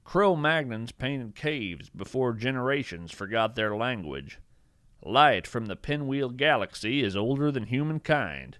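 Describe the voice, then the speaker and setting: A man playing an eccentric, earnest naturalist who hosts a scripted fiction podcast, speaking in a measured, theatrical, thoughtful way. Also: mid-range voice, animated, fairly bright tone, clear, resonant tone, no room sound, clean studio sound. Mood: hushed, reverent. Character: eccentric male naturalist host, musing, earnest, whimsical. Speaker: podcast host and narrator. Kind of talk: scripted fiction podcast narration with poetry readings.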